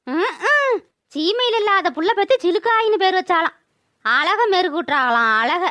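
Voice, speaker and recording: A woman's voice: extremely high pitch, lively intonation, normal speed, bright, forceful tone, no echo, heavily acted. A woman voicing a sarcastic, nosy old mother-in-law in a village cartoon, gossipy and mocking, with a rural Tamil Nadu accent. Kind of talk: cartoon village drama voice-over.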